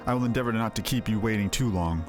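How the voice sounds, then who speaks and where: A man's voice: fairly low pitch, fast, close to an everyday voice. A man voicing an earnest noble lord in a fantasy game, speaking formally and resolutely. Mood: urgent, resolute. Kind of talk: fantasy game dialogue read aloud. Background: game music.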